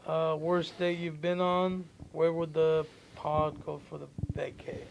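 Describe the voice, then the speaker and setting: Man, slightly high voice, relaxed slangy co-host, faint hiss. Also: a little slow, gravelly. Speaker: podcast co-host. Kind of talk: casual podcast banter.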